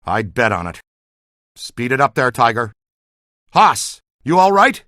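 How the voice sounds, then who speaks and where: An actor playing a seasoned, streetwise ghost hunter and squad mate in a video game. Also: male voice, mid-range voice, sing-song, measured pace, pushed and bright, no echo, studio quality. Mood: coaching, wary. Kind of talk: video game character dialogue.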